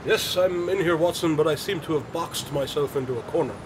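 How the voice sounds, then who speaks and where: An eccentric, inquisitive male detective from a radio drama, played by an actor. His voice sits a little high, and he speaks in neat, formal phrases with a dry wit, as if always ready to wander off after something more interesting. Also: brisk, noisy background, close to an everyday voice. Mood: eager, distracted. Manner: articulate, wry, formal phrasing. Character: eccentric, inquisitive male detective, dryly witty, easily distracted.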